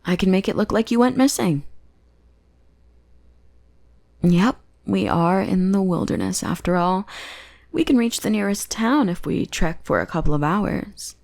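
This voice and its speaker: A woman's voice: mid-range voice, expressive, normal speed, darkish tone. A young woman playing a teasing, possessive goth bully who is secretly smitten, in an ASMR roleplay. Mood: calmly menacing. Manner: playful, taunting, drops into breathy whispers.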